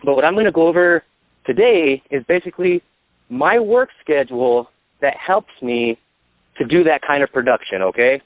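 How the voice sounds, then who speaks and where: A man with a fairly high voice gives practical sales training on a conference call. He explains things plainly, one step at a time. The sound is a dry, narrow telephone line. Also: expressive, normal speed, no room sound. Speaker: insurance sales agent and trainer, guest speaker. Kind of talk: instructional sales talk on a call. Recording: dry, tinny phone line.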